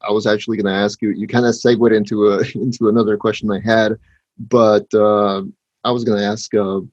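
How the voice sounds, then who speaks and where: A man interviewing casually, feeling his way through questions in a halting way, on a lo-fi recording. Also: on the low side, flat delivery, darkish tone, no echo. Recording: dull lo-fi sound.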